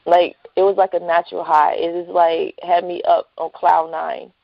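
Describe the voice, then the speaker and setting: A woman's voice: fairly low pitch, flat delivery, moderate pace, creaky, dry recording. A woman chatting casually as a guest in a phone interview. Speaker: interview guest, writer and entrepreneur. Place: phone call. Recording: dull lo-fi phone line.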